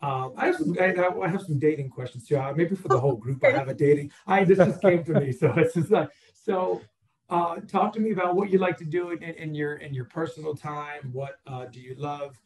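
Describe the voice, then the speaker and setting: Fairly high-voiced male podcast host. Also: brisk, darkish tone, roomy sound. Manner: hesitant, many uh fillers. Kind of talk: casual interview chat.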